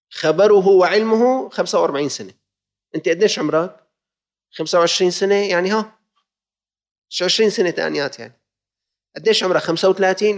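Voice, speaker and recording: A man with a Levantine Arabic accent preaching a sermon with fervent exhortation, firing rhetorical questions at his listeners and moving between formal classical phrasing and everyday dialect. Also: on the high side.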